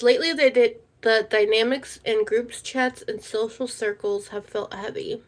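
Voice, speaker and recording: A woman hosting a casual livestream, chatting in a rambling way and repeating her words. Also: a little high. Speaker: livestream host.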